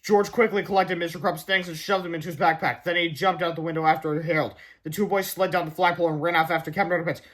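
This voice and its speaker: A man reading a children's book aloud in an expressive, animated way, now and then stumbling over a word. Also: a little high, fast talker, hardly any pauses. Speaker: storybook reader.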